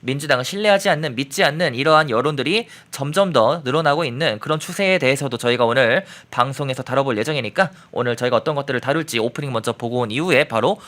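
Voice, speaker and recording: A man's voice: medium pitch, quick pace, hardly any pauses, a bit bright. A man hosting a political talk show in a conversational way, introducing points and putting questions to a guest. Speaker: host.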